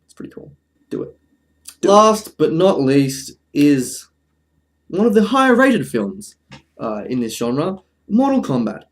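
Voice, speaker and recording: A man's voice: mid-range voice, gravelly. A man presenting casual film commentary in an informal, chatty, slightly wry way. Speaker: presenter of a film review video essay.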